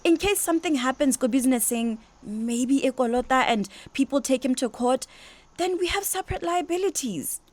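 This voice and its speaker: An actress playing a confident young bride-to-be in a radio drama, speaking articulately with a Botswana accent. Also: female voice, high-pitched, expressive, moderate pace, no room sound. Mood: earnest, persuasive. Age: young adult. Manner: articulate, explanatory, code-switching. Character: confident young bride-to-be businesswoman, reasoning earnestly.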